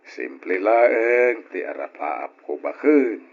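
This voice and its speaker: A man's voice: on the low side, very animated, slow pace, creaky, full character voice. A man playing a humble, hardworking family father, proud and wistful, in an Ilocano radio drama with reflective, sighing delivery. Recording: dull lo-fi radio recording.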